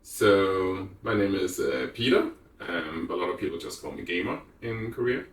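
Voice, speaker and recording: A man's voice: sits low, normal speed, slightly rough, recorded from a distance, rough recording. A man from Denmark, an IT developer, speaking frankly and reflectively in a documentary interview.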